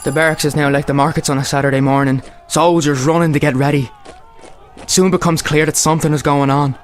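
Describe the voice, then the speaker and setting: Actor as anxious young Irish soldier, higher-pitched. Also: male voice, fairly even intonation, fairly quick, faint music behind, close to an everyday voice. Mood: tense, apprehensive. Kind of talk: radio play acting.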